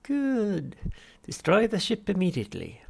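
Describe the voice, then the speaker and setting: Guy doing a smug alien shrink from a storybook, way up high, loud and sugary, talks down to you. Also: wildly swooping pitch, a little slow, creaky, breathy edge, exaggerated voice. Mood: bright, smug. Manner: unctuous, patronising, brightly over-articulated. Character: smug, falsely cheerful male alien psychiatrist. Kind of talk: audiobook character voice.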